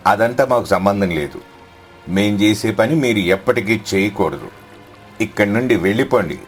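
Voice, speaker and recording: A man's voice: fairly low pitch, lively intonation, moderate pace, faint music behind, natural voice. A man voicing a haughty village fisherman in a moral story, scolding and domineering. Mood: dismissive.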